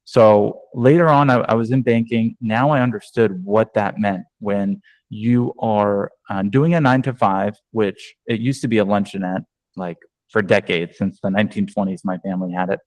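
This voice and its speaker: A man's voice: lowish voice, steady tone, normal speed, darkish tone, no room sound, poor audio. A man from New York, a real estate agent, giving a conversational online training over a video call with many ums and restarts.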